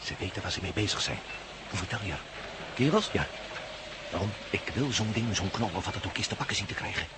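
Low-voiced, watchful man played by an actor, noisy recording. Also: lively intonation, fairly quick, natural voice. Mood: determined, tense. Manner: terse, short observations. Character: determined, watchful male landowner spying on intruders. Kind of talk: radio drama acting. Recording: noisy radio play, outdoor ambience.

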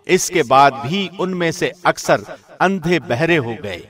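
A man reading a scripted scripture translation in a measured, formal radio-presenter manner. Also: on the high side, expressive, fairly bright tone. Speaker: translation reader, radio host.

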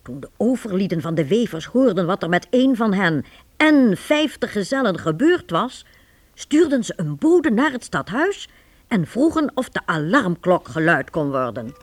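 A woman narrating a scripted radio play with animated, storybook delivery. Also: mid-range voice, moderate pace.

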